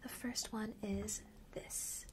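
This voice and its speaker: A young woman playing a friendly witch perfume seller in an ASMR roleplay, whispering softly and breathily close to the microphone in a casual, slangy, reassuring way. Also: mid-range voice, little pitch movement, takes it slowly, close to an everyday voice. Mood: gently coaxing. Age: young adult. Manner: casual, slangy, gently coaxing. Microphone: close large studio microphone.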